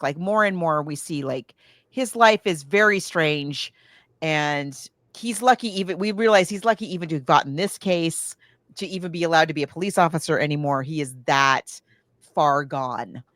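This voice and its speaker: A woman's voice: low-pitched, a bit bright, no echo, clean studio sound. A woman hosting a podcast, chatting casually and retelling a story in a rambling way. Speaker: podcast host. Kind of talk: casual chat recounting a film plot.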